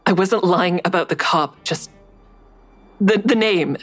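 A woman's voice: fairly low pitch, unhurried, dry recording. An actress playing a guarded, defiant woman in an audio drama, narrating and speaking in a terse, dry, confiding way. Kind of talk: first-person audio drama narration and dialogue. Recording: faint music under the voice.

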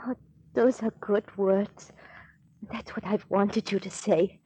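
An actress playing an earnest, wronged refugee woman from Norway, speaking in formal, halting phrases in 1940s radio style. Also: medium pitch, fairly even intonation, warm tone, slightly rough, poor audio. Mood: grateful, resolute.

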